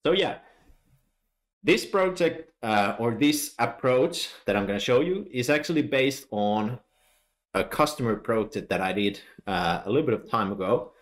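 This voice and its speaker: A man's voice: mid-range voice, animated, moderate pace. A man from Finland with a Finnish accent, giving a technical conference talk in a friendly, explaining way with many "uh" fillers. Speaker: conference speaker.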